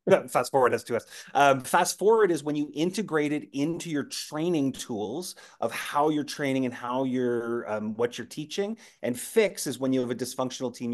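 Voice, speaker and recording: A man guest on a podcast, chatting casually as a team-building trainer and telling animated stories with lots of fillers and restarts. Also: medium pitch.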